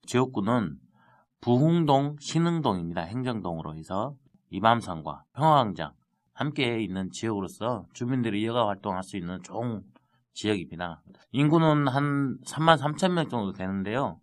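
A man's voice: fairly low pitch, lively intonation, moderate pace. A man with a Jeolla accent, a city council member speaking earnestly as a guest on a local podcast. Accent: Jeolla dialect. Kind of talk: casual podcast conversation.

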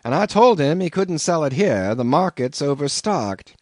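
A man's voice: fairly high pitch, very animated, moderate pace, darkish tone, dry recording, clean studio sound, pitched above the performer's own voice. A man voicing a folksy, teasing old male innkeeper with a rustic drawl, in an audiobook reading.

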